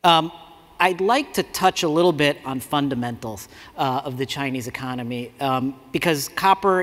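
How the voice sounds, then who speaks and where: A man in his forties giving a conversational conference talk at a lectern mic, with many fillers and restarts. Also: fairly high pitch. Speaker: conference speaker. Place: large conference hall. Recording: reverberant hall.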